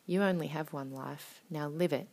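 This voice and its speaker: A woman's voice: low voice, moderate pace, a little mellow. A woman reciting her own short poem in an emphatic, urging way.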